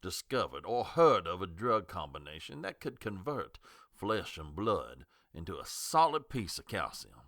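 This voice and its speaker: An actor playing an older, skeptical small-town doctor in an audio drama, sardonic and condescending, given to lecturing. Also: male voice, sits low, big pitch swings, measured pace, fairly bright tone, no echo, clean studio sound, full character voice. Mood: indignant, sardonic.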